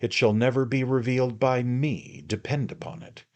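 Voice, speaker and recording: A man reading aloud and voicing a kindly, dignified elderly gentleman who speaks courteously but firmly. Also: medium pitch, pitched above the performer's own voice, lightly acted. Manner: courteous, firm and measured.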